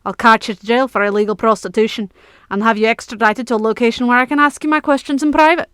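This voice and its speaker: A woman's voice: fairly high pitch, fairly even intonation, fast, a bit bright, no echo, studio quality, pitched above the performer's own voice, close to an everyday voice. Woman voicing a stern, menacing knight. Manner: sinister, gruff commands. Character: big stern male knight, threatening.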